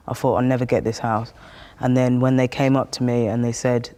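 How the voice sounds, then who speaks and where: A British man talks casually, as if recounting things to someone he knows. His pitch is middling and moves little, and his wording is colloquial, with reported exchanges dropped into the telling. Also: flat delivery, fairly quick, dark tone. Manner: colloquial, acts out remembered exchanges. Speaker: housing tenant interviewing another tenant. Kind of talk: casual personal storytelling and chat.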